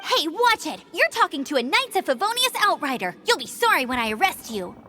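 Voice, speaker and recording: A woman voicing an eager, proud young female scout in a game, energetic and quick to scold. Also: high-pitched, soft background music, exaggerated voice. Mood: indignant.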